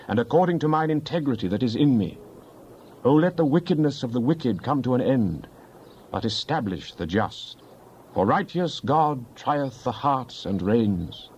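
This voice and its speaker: A man's voice: mid-range voice, darkish tone, muffled recording, a little hiss or hum, lightly acted. A man playing a fervent psalmist in a dramatized Bible reading, solemn and declamatory in archaic scripture language. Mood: stern, solemn. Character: fervent psalmist pleading with and praising God.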